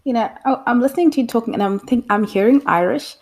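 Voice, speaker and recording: A woman's voice: a little high, fast. A woman hosting an interview, asking questions in an enthusiastic, encouraging way. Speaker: podcast host. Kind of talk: casual interview chat.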